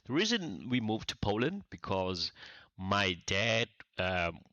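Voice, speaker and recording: A man from Vietnam in his forties, a startup founder as a podcast guest, talking casually and haltingly with many restarts. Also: lowish voice, big pitch swings, bright, forceful tone, dry recording. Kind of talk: casual interview talk. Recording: dry, dull lo-fi sound.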